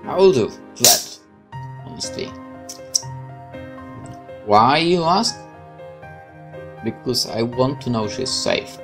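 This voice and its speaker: A woman's voice: a bass voice, creaky. A woman reading a game's story aloud and adding casual commentary as she plays. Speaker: game player narrating a playthrough.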